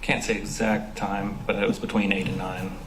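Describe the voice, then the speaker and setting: A man in his twenties, a police officer, giving brief, quiet answers as a witness in court. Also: on the low side, level tone, normal speed. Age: 20s. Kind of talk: courtroom testimony. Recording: echoey courtroom, distant mic, noisy.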